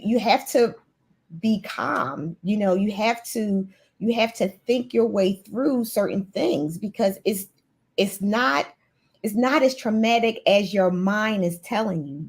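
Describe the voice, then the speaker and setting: A woman's voice: mid-range voice, unhurried, slightly rough. A woman guest in a casual interview, talking in an earnest, motivational way with frequent restarts. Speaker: guest, nurse CEO.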